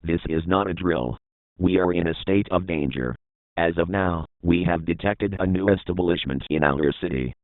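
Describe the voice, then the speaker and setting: Phone-like narrow sound, man acting stern alert announcer, low pitch, formal, measured, scripted warning. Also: no echo, close to an everyday voice. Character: stern official male emergency broadcast announcer. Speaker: emergency announcer. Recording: thin phone-like band-limited sound.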